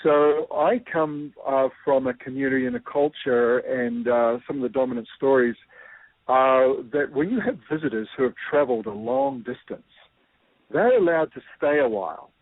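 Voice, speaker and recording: A man's voice: mid-range voice, moderate pace. A man with a New Zealand accent, a guest explaining ideas through a personal story, thoughtful and full of fillers. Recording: tinny phone-like line.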